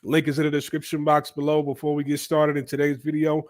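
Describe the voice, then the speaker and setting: A man's voice: fairly high pitch, completely flat delivery, fast. A man with a stuffy cold voice hosting a loose, casual commentary video, thinking aloud with fillers and repeats. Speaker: commentary channel host.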